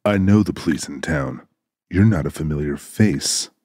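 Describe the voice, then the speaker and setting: A man's voice: moderate pace, darkish tone, no room sound, clean studio sound. An actor playing a guarded, suspicious man who is terse and unwelcoming with police. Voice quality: very deep, husky.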